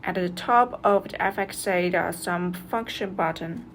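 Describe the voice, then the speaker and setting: A woman's voice: lowish voice, measured pace, a little hiss or hum. A woman reads a scripted product review as a narrator, going through instructions step by step.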